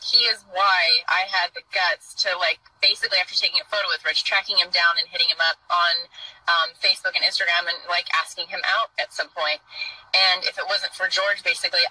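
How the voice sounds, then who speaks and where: A woman's voice: lowish voice, fairly even intonation, fairly quick, sharp tone, breathy edge, no room sound, recorded from a distance, rough recording. A woman in her thirties, a guest on a live show, chatting openly and swearing freely. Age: 30s. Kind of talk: casual chat. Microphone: phone in selfie mode.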